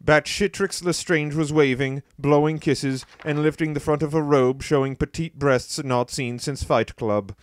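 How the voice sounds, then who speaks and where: A man giving scripted narration for a parody audiobook in a deadpan manner, as the storyteller. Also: on the high side, unhurried, hardly any pauses, no echo.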